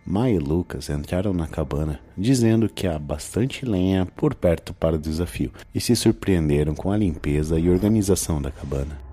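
A man with a Brazilian accent and a husky voice, narrating a scripted horror audio drama. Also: low voice, expressive, normal speed, few pauses, dark tone.

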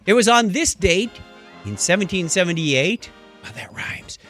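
A man's voice: sits high, lively intonation, measured pace, a bit bright, soft background music. A man co-hosting a podcast in a casual, chatty style. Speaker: podcast co-host.

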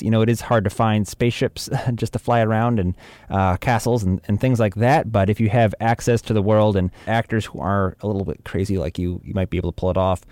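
A man's voice: on the low side, a little mellow, no echo. A man giving informal, chatty instruction as an audio drama producer, with wry humour.

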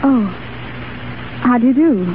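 Casting: woman as kindly English noblewoman, high, polite voice, refined English accent; tinny old radio drama. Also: measured pace, close to an everyday voice. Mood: gracious. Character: gracious, sympathetic English aristocratic lady. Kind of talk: 1930s radio acting. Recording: tinny, hissy 1930s radio recording.

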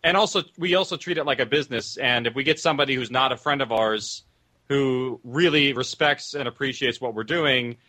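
A man with a bright, clear-cut voice of medium pitch, talking off the cuff as a producer on a panel. His phrasing is loose and informal, full of small qualifiers. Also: a little fast. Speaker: audio drama producer, panel guest. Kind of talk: casual panel discussion.

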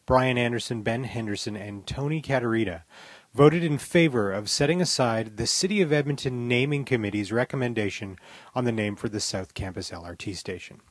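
Man, husky voice, podcast host, scripted commentary, wry, critical, emphatic, dry close sound. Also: mid-range voice, fairly quick, no echo.

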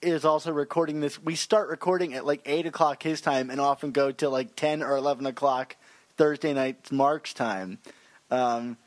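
A man's voice: medium pitch, steady tone, normal speed, no room sound. A man hosting a podcast in casual, easygoing chat. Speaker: podcast host.

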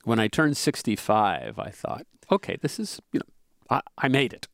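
A man in his seventies talking in a measured, hesitant way with many fillers, as a podcast co-host in casual conversation. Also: medium pitch, huge pitch swings, vocal fry, fairly bright tone. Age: 70s.

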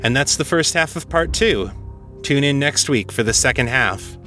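A man's voice: medium pitch, expressive, normal speed, bright, forceful tone, faint music behind. A man narrating and presenting as a podcast host in a scripted, read-aloud style.

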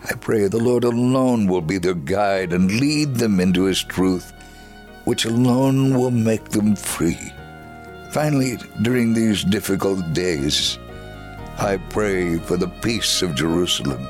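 A low-voiced, solemn, devout storyteller. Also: male voice, slow, soft background music. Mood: earnest, devout. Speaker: dramatic reader. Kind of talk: scripted dramatic reading of a memoir.

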